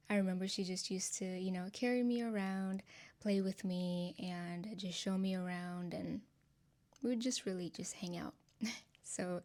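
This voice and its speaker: A woman from Nepal in her twenties, a native Nepali speaker, softly and earnestly sharing her personal testimony with her channel's viewers, with frequent ums and light laughs. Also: fairly low pitch.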